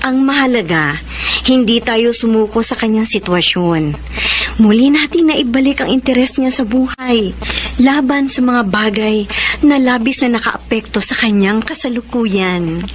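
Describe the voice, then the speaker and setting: An actress playing a loving, gently firm adoptive mother in a radio drama, tender and earnest. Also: on the high side, a little slow, lightly acted. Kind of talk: Filipino radio drama acting.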